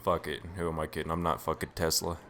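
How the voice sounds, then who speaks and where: A man's voice: very deep voice, level tone, fast, over music. A man giving casual, offhand video game commentary, swearing freely as he plays. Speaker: gameplay commentator.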